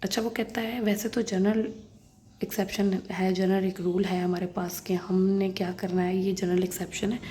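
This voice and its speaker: A woman's voice: medium pitch, flat delivery, moderate pace, dark tone. A woman lecturer methodically reading legal text aloud and explaining it, repeating and rephrasing points for students. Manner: alternates English legal clauses with simple Hindi-Urdu explanation. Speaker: law lecturer. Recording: noticeable background noise.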